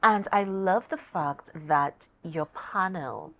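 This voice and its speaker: A woman's voice: lowish voice, slow, a bit bright. A woman with a Tobagonian accent hosting a conversational interview, speaking expressively and repeating words for emphasis. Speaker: talk show host.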